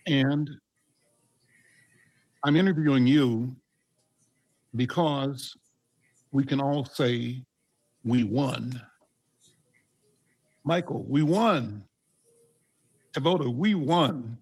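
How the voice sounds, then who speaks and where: Gravelly man hosting a community call. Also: medium pitch, darkish tone. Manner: halting, many fillers and restarts. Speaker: host.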